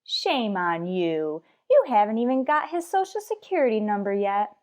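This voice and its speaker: Actress as woman English teacher, lively intonation, wry, sarcastic, dry wit, old-time radio comedy. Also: medium pitch, moderate pace, close to an everyday voice. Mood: dry teasing. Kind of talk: old-time radio sitcom acting and narration.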